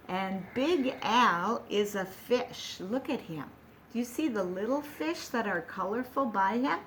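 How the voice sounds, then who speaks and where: A woman teaching young children over a video call, talking in a slow, patient, sing-song way. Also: mid-range voice, a little hiss or hum. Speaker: preschool teacher.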